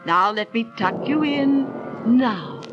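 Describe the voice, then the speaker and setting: A woman playing a brisk, loving village mother, anxious for her daughter, in a 1930s radio drama. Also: fairly high pitch, lively intonation, dull audio, over music. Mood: brisk, soothing. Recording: noisy old 1930s radio transcription.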